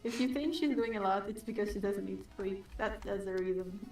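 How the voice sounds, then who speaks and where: A woman artist whose first language is not English, chatting and teasing in a relaxed way on a stream. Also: medium pitch, fairly quick, echoey room, far from the mic. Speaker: artist, co-host. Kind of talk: casual chat on a livestream.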